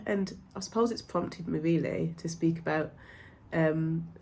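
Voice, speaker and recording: Woman, blocked nose from a cold, lowish voice, gentle reassuring ramble, faint hiss behind. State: congested from a cold. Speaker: mind-body coach. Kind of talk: casual talk to camera.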